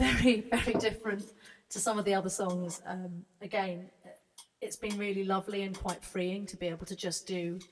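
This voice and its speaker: A woman's voice: lowish voice, slight background noise. A singer-songwriter, a woman, chatting casually between songs, hesitant and full of fillers. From Britain. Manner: hesitant, many fillers and restarts. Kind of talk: casual chat introducing a song. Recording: reverberant room, distant mic, music behind.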